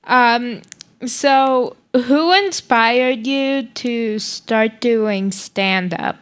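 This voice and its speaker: A woman hosting an interview, asking questions slowly with hesitant fillers. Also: on the high side. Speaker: interview host.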